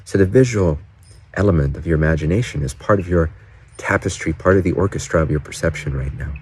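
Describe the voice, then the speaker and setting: A man leading a guided meditation in gentle, unhurried short phrases with pauses between them. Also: low voice, slight background noise. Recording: birdsong and faint outdoor ambience behind. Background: doves, frogs, birds and distant cars.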